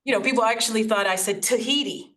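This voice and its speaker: A woman raised in the United States by Haitian parents, Haitian Creole her first language, giving a reflective talk over slides and often pausing to search for words. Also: on the high side, sharp tone, a little hiss or hum. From United States, Haitian family. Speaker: guest speaker. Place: online recording, video call.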